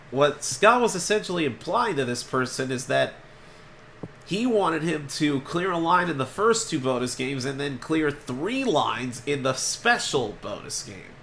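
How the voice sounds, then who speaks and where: A man's voice: fairly high pitch, a little hiss or hum. A man hosting a homemade game show, upbeat and chatty, with a voice congested by allergies. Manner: energetic, chatty, throat-clearing.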